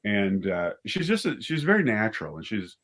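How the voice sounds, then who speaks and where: A man with a lowish voice speaks as a guest in a casual interview. He is a director who tells stories conversationally, often acting out bits of what was said. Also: wildly swooping pitch, normal speed, a bit bright. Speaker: guest, audio drama writer and director.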